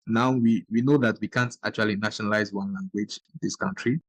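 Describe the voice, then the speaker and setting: A man's voice: lowish voice, fairly even intonation, a little fast, no echo, low-quality recording. A man from Ghana, hosting a podcast and explaining his points earnestly. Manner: earnest, explanatory, with brief backchannel agreements. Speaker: podcast host. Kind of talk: podcast conversation.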